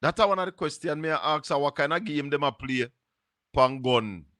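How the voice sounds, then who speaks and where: A Jamaican man with a Jamaican accent hosting a live stream, musing slowly and slipping into patois. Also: fairly high pitch, animated, fairly bright tone, no echo, clean studio sound. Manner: slow, musing, drops into patois. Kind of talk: casual live-stream commentary.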